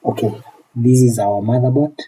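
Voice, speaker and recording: A man's voice: mid-range voice, normal speed, dark tone, a little hiss or hum, poor audio. A man with a Kenyan accent chatting casually on a livestream as he teaches repair and replies to viewers, often repeating his phrases. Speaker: phone repair technician and live streamer.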